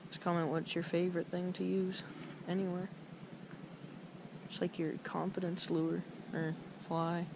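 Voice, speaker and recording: A man talking casually to viewers while fishing by a lake, easily excited, saying "man" and "guys" a lot. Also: high voice, measured pace, vocal fry. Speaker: fishing vlogger. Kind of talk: casual first-person fishing vlog. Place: outdoors on rocks by a mountain lake. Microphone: head- or chest-mounted action camera. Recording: loud wind and outdoor noise.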